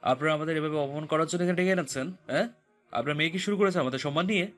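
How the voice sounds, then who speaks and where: Angry fast talking dad, guy acting it, slightly high voice, accusing, audio story. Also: a bit bright, lightly acted. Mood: indignant. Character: indignant, threatening father of the groom. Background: music.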